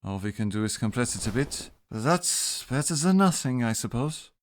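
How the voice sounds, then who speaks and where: A man playing a gentle, reserved Victorian doctor in an audio drama, with an understated, measured manner. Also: mid-range voice, lively intonation, natural voice. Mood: clinical, calm. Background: music.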